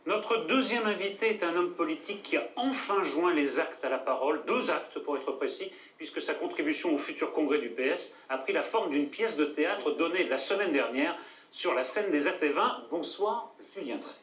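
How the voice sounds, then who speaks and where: A man hosting a talk show, introducing a guest and putting crisp, pointed questions. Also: a little high, expressive, normal speed, fairly bright tone, reverberant, distant mic, a little hiss or hum. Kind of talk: studio debate introduction.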